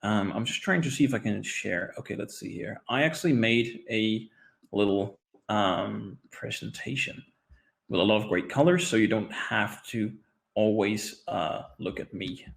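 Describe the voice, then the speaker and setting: Man, from Denmark, young adult, friendly, hesitant, lowish voice, video call. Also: measured pace, gravelly. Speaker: guest speaker, social enterprise executive. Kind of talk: webinar presentation.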